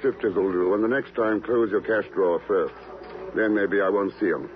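A low-pitched, unflustered American agent played by a male actor speaks in clipped, dry lines. It is old radio drama, with a dull sound and faint noise. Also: normal speed, lightly acted. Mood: cool, polite. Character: cool, curt American male secret agent. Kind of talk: 1950s radio drama acting.

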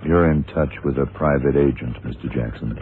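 Calm shrewd fbi agent, super low voice, old radio drama, tinny and a bit noisy. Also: male voice. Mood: coolly probing. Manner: measured, probing, matter-of-fact. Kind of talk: 1970s radio drama acting.

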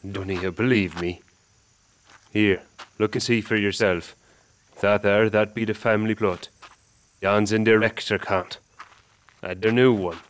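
A man's voice: on the low side, fairly even intonation, unhurried, pauses often, lightly acted. An actor playing a surly, suspicious backwoods man who speaks clipped rustic dialect with Dutch words mixed in, in a radio drama. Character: surly backwoods older brother, suspicious and menacing.

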